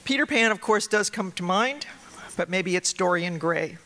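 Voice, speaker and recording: A woman's voice: lowish voice, lively intonation, normal speed, a bit bright. A woman introducing a speaker at a conference, reading a scripted introduction with dry, teasing humor. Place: conference lecture hall.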